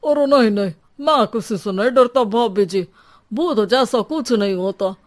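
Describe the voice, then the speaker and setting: A woman's voice: a little high, animated, unhurried. A woman voicing a sceptical, joking, matter-of-fact man in a cartoon story. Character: sceptical, teasing neighbourhood man, reasoning calmly. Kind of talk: Hindi cartoon story acting.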